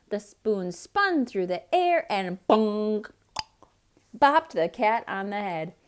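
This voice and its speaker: A woman reading a picture book aloud to children in an expressive, theatrical way. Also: on the low side, clean tone. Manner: expressive, dramatic, explaining things to children. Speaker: children's storyteller.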